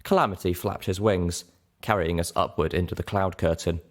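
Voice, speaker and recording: A man narrating a fantasy audiobook in an expressive, scripted reading style. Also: low-pitched, normal speed. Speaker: audiobook narrator and show host.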